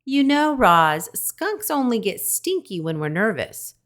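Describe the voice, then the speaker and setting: A woman voicing a small, friendly female skunk who talks in a squeaky, eager, gushing way. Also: very animated, a little slow, fairly bright tone. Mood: excited.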